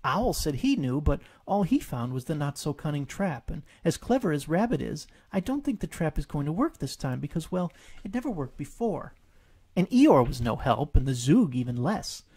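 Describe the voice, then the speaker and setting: A man's voice: a little high, big pitch swings, normal speed, dark tone, breathy edge, heavily acted. Man voicing a timid, forlorn little piglet. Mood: forlorn, thoughtful. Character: timid, muddled, polite little male piglet. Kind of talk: audiobook storytelling.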